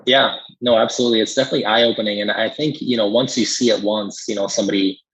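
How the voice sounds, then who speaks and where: A man's voice: lowish voice, fairly even intonation, quick pace, a bit bright, breathy edge, dull audio. A man co-hosting a medical podcast, talking casually and agreeably as he explains points with everyday examples. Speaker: pharmacist and podcast co-host. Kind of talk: casual professional discussion.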